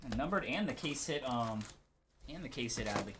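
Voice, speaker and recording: A male host with a mid-pitched voice. He talks casually through a live card opening, calling items out in short, clipped phrases. His delivery is laid-back and conversational. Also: animated, moderate pace, noisy background.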